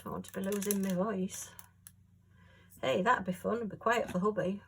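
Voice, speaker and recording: A woman chatting casually at home while she works on a craft, rambling and homely with lots of fillers, her voice a little hoarse. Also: mid-range voice, fairly even intonation, normal speed, slight background noise. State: slightly hoarse. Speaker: crafting vlogger.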